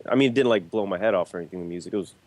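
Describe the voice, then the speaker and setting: A man's voice: low-pitched, very fast. A man guesting on a casual film podcast, talking in a blunt, joking, slangy way.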